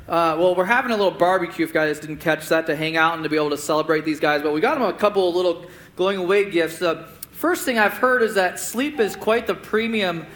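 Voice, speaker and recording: Male pastor, high bright voice, earnest preaching and praying from a church stage. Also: slight background noise. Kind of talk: sermon and congregational prayer.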